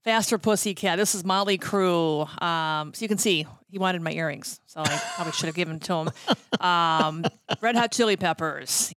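A woman's voice: fairly low pitch, moderate pace, a bit bright, dry recording. Chatty middle-aged woman, close dry sound. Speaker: guest, former radio promotions worker. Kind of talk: casual interview chat with anecdotes.

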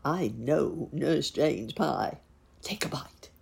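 A polite old-fashioned gentleman rabbit from a storybook, voiced by a woman, speaks with gentle courtesy. The voice is low and husky, unhurried and kind. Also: lively intonation, heavily acted. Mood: courteous. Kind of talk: children's storybook character voice.

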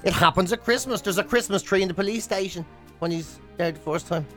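A man's voice: sits high, a little fast, fairly bright tone, soft background music. A man with an Irish accent co-hosting a radio show in casual, insistently comic banter. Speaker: radio co-host.

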